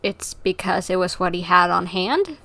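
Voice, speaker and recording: A woman's voice: lowish voice, lively intonation, moderate pace, creaky, a bit bright, slight background noise. A woman livestreaming a game, giving casual, playful and chatty reactions. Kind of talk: casual live commentary over a game.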